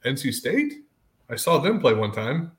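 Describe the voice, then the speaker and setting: A man's voice: mid-range voice, very animated, moderate pace. A man chatting casually about sports as a podcast co-host, blunt and sarcastic.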